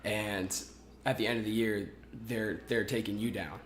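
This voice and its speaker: Young man, low voice, echoey room. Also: normal speed, slight background noise. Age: 20s. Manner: relaxed, many fillers and restarts. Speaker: podcast guest. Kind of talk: casual interview talk.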